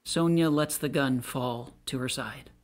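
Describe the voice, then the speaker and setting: A man's voice: mid-range voice, a little slow. A man reading stage directions as scripted narration for a play.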